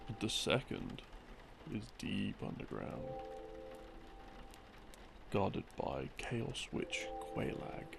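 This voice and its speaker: Male, low pitch with heavy vocal fry, halting bedtime explainer, music underneath. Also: wildly swooping pitch. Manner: halting, unhurried, musing, trailing off. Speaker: audio creator playing a rambling boyfriend. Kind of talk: sleep-aid ASMR ramble, explaining from memory. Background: soft background music.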